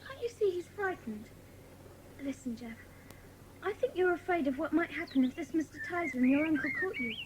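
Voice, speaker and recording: A woman's voice: high voice, expressive, fairly quick, noisy background. A woman playing a caring, outspoken British schoolgirl in a dramatised children's audiobook. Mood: indignant, protective.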